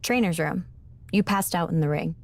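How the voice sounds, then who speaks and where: A woman playing a blunt, knowing mentor in a video game cutscene, explaining in a measured, pointed way. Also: on the low side, natural voice. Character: knowing, blunt female mentor in a supernatural wrestling drama.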